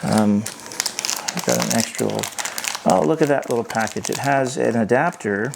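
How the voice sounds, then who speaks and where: A man giving an unscripted hands-on product review, thinking aloud in a halting way. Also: medium pitch, gravelly, noisy background.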